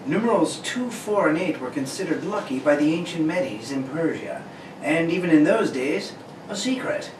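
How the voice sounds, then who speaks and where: A courteous, curious secret-agent hero, played by a man, high and animated, narrating his discoveries like an old radio serial. The recording is roomy, distant and noisy. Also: normal speed, natural voice. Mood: curious, assured. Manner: courteous, narrates what he sees aloud. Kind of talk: amateur read of a 1940 radio serial. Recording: echoey room, distant mic, clear noise.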